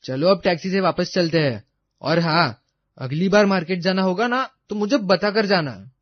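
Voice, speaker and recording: A man's voice: high voice, normal speed, dry recording, muffled recording, close to an everyday voice. A man playing an earnest, devoted young man in love in a story. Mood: reassuring.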